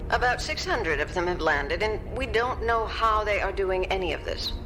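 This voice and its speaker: A woman's voice: medium pitch, animated, moderate pace, a bit bright, a little hiss or hum, lightly acted. A woman acting in a radio drama as a government scientist who advises in a factual, precise way, uneasy under pressure. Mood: tense, factual. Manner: precise, reporting, hedged. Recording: music bed under the voice.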